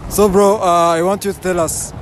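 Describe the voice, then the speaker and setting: A young man from Rwanda running a casual street interview, chatty and animated as he asks questions. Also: high voice, moderate pace. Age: young adult. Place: outdoors in a park and a city court. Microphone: phone held as mic. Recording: outdoor street noise.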